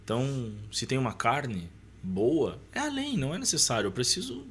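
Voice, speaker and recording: A man's voice: mid-range voice, wildly swooping pitch, normal speed, a little hiss or hum. A man with a Brazilian Portuguese accent speaking as a podcast guest, colloquial and emphatic, explaining with examples in a preacher-like way. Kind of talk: casual conversation with preaching tone.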